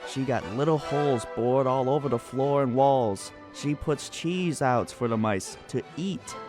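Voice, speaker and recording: A man's voice: medium pitch, a little slow, over music, close to an everyday voice. A man playing a gossipy, folksy small-town local in a radio drama, chatting colloquially with amusement. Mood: amused, emphatic.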